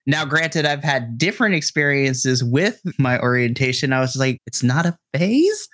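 A young man co-hosting a podcast, chatting casually and acting out quotes expressively. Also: normal speed. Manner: expressive, acts out quotes with rising pitch. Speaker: podcast co-host. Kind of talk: casual chat.

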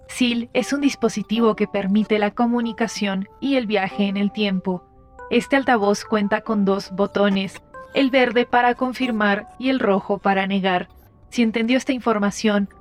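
A woman voicing a polite, impersonal automated device assistant, giving scripted instructions in a formal, measured way for an audio drama. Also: mid-range voice, steady tone, soft background music, lightly acted. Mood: neutral, courteous.

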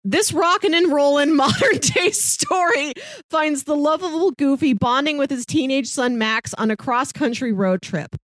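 Female podcast co-host, playful and chatty, higher register, close dry sound. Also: animated, normal speed, a bit bright, no echo. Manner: chuckles mid-sentence, playful. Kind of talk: casual film podcast chat, pitching films and reading blurbs.

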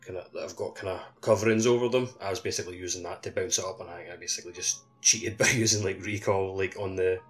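A man's voice: sits low, steady tone, quick pace, few pauses, soft background music. A man co-hosting a casual video game podcast over a voice call, rambling and tentative in his phrasing. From Scotland. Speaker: podcast co-host. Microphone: voice call over the internet.

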